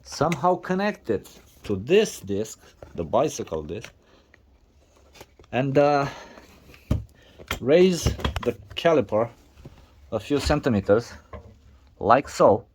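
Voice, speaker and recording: A man whose first language is not English, narrating a casual workshop vlog in a halting way with many restarts and fillers. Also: mid-range voice, big pitch swings, a little mellow, slight background noise. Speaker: DIY video maker.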